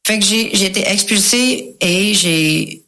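An English-speaking woman tells her personal story casually, with slangy English words mixed in and pauses to search for words. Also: mid-range voice, sharp tone, rough recording. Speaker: guest sharing a recovery testimony.

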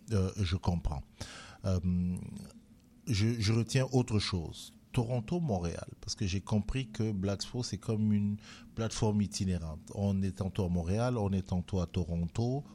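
Male radio host, low voice, relaxed interviewer with hesitations. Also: measured pace. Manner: relaxed, conversational, frequent hesitations and laughs.

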